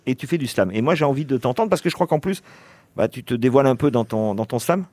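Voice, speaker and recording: A man's voice: medium pitch, animated, darkish tone, no echo. Joking male radio host, dry studio sound. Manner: joking, leads with quick questions. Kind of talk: hosting a live interview.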